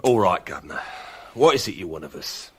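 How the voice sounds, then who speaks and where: A British actor playing a wary, loyal small-time crook in a radio drama, plain-spoken, defensive and uneasy. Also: male voice, low voice, very animated, brisk, fairly bright tone, a little hiss or hum.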